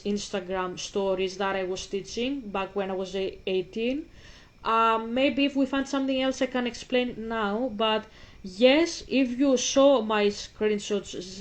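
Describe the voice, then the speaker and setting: A woman in her twenties with a Greek accent, hosting a casual commentary, rambling with many restarts and repeats. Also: a little high. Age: 20s. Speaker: host.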